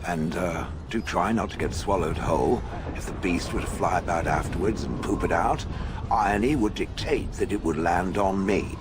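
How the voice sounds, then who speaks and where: A man's voice: deep voice, normal speed, lightly acted. An actor, a man, playing a haughty stone golem in a fantasy game, dryly sardonic with grudging affection. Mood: wry, grudgingly fond. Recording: clear background ambience noise.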